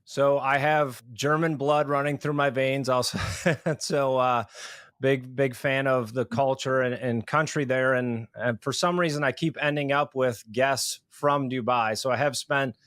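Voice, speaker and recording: A man hosting a podcast interview, introducing guests in a measured, deliberate way with "uh" fillers. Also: mid-range voice, fairly even intonation, fairly bright tone, no room sound, clean studio sound. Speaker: podcast host.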